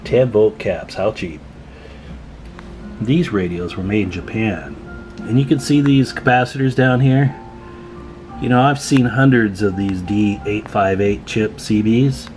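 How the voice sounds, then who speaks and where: A man's voice: on the low side, measured pace, a little mellow, soft background music. A man talking in a folksy, chatty way as he gives a casual hands-on repair demonstration at an indoor workbench. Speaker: radio repair technician and video host.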